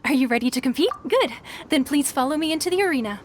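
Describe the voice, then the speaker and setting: A woman's voice: high-pitched, animated, fast talker, slight background noise. A woman playing a polite, businesslike young female tournament registrar in a video game, composed and helpful. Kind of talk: English video game dub. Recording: game music behind.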